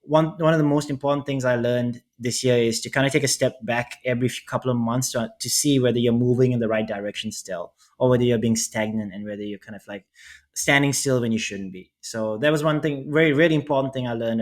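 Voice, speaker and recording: A young man co-hosting a casual business podcast, speaking earnestly and reflectively, often repeating and rephrasing. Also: medium pitch. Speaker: podcast co-host.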